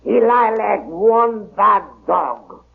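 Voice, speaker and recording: An actor playing a big, drunken, menacing bully who speaks broken English with a foreign accent in an old radio drama. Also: male voice, high-pitched, extremely slow, gravelly, full character voice. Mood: menacing, violent. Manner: domineering, threatening, broken grammar. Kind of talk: 1930s radio drama acting. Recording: tinny old radio recording.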